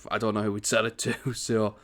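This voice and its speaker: A man hosting a strategy game commentary, chatty and rambling, with a croaky morning voice. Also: fairly low pitch, little pitch movement, fairly quick, a bit bright. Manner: chatty, rambling, self-correcting. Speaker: gaming channel host.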